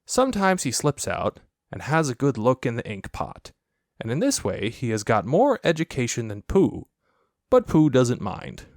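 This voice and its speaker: A man reading a children's story aloud as an audiobook narrator, expressive and playful in his storytelling. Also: medium pitch, normal speed, dry recording, clean studio sound. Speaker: audiobook reader.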